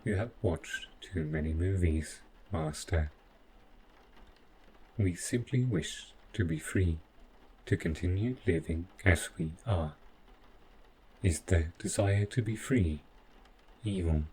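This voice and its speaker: A deep-voiced male robot butler, acted out by a man for an audio roleplay. He speaks very slowly in formal, clinical phrases, devoted and possessive with a calm menace. Faint noise sits behind the voice. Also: low-quality recording. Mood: earnest, pleading. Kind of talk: scripted audio roleplay addressed to the listener.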